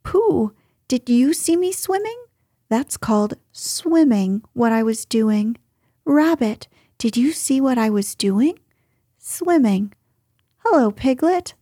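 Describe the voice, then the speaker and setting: Female reader as young male kangaroo, very high squeak, excited, boastful, repetitive, children's storybook. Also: slow, breathy edge, dry recording, studio quality, full character voice. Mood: excited, proud. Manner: excited, boastful, repeating himself.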